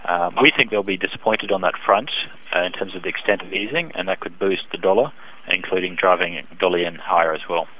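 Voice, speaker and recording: A man speaking as an expert economist in a phone interview, giving measured, hesitant, technical market analysis. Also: low voice. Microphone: telephone. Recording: dull band-limited phone line.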